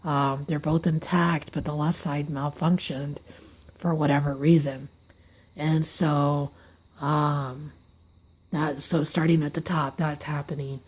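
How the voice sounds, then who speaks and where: A hoarse woman with an airy, breathy voice, telling a casual story-time monologue. She talks haltingly, runs short of breath, and her words often fade into a whisper. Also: sits low, little pitch movement, a little mellow, rough recording. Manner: slips into unvoiced whispers mid-sentence. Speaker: vlogger. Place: seated at a table indoors.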